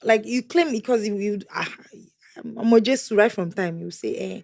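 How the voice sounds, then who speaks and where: A young woman, speaking candidly and softly as an interview guest, her voice often trailing off quietly. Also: mid-range voice, normal speed, gravelly, dry recording, studio quality. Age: young adult. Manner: soft-spoken, trails off quietly, laughs between lines. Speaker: guest sharing testimony.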